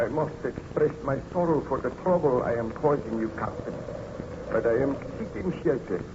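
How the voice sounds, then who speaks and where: A weary, haunted baron, speaks slowly and weakly in a slightly high voice. His delivery is halting, courtly and obsessive, in old radio drama style. Clear noise covers the recording. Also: highs cut off. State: weak, ill-sounding.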